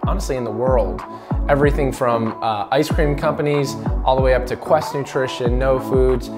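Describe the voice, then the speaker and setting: A man hosting a podcast, introducing his guest and asking questions in an enthusiastic, friendly way, often saying "like". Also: mid-range voice. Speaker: podcast host. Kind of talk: casual podcast interview.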